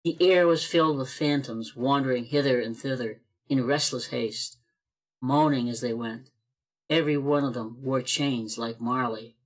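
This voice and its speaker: A man's voice: mid-range voice, fairly even intonation, normal speed. A man narrating a radio play as a scripted storyteller.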